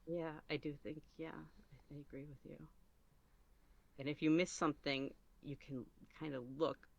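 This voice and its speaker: A man's voice: a little high, little pitch movement, measured pace, creaky, muffled recording. A man speaking casually as an interview guest, rambling and somewhat self-conscious as he goes. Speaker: podcast guest, ASMR roleplay creator.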